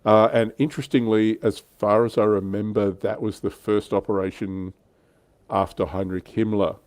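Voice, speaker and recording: Low-pitched, mellow man explaining casually. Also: flat delivery, moderate pace, no room sound. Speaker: livestream host. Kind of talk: casual explanatory history chat.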